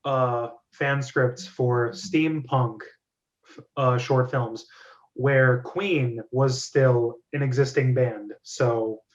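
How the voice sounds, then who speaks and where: A man hosting a group discussion, giving feedback in a wordy, measured, organized way. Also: medium pitch, steady tone, highs cut off. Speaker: host of a script reading club.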